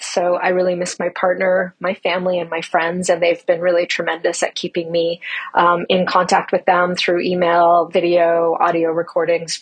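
Husky, lower-pitched woman giving an informal update. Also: rough recording. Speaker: mission commander of a Mars analog crew. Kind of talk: informal spoken audio log update.

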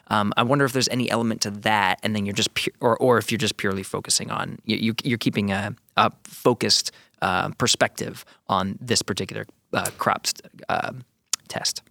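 A man's voice: fairly low pitch, steady tone, a little fast, vocal fry, pushed and bright, no room sound. A man hosting an interview podcast, asking curious, searching questions in a conversational way. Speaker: podcast host.

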